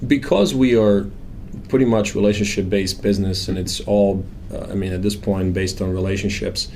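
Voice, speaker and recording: A man's voice: on the low side, steady tone, normal speed, a little mellow, slight background noise. A man from Croatia with a Croatian accent, a firm owner talking plainly and earnestly about his work in a casual interview, with frequent ums. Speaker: financial planning firm owner.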